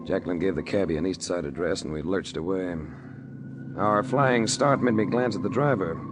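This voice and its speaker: A man's voice: deep voice, moderate pace, natural voice. A man playing a hard-boiled private eye, hissy old broadcast. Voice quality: dry, hard-boiled delivery. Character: tough wisecracking male private detective, adult. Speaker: narrator and lead character. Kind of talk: 1940s radio acting.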